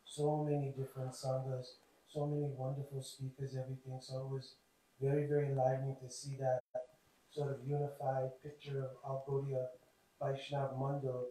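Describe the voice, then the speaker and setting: Hoarse man speaking in a cavernous hall. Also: medium pitch, monotone, mellow, a little hiss or hum. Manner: earnest, explanatory, measured. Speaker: devotee speaker. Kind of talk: devotional talk. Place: temple hall. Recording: very echoey hall, voice from far off.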